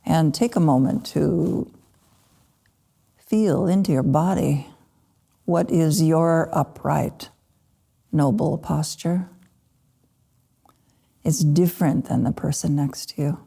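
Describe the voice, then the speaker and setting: Low, creaky woman guiding meditation. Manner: unhurried, gentle pauses between short phrases. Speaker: Buddhist meditation teacher (lama). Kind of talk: guided meditation talk.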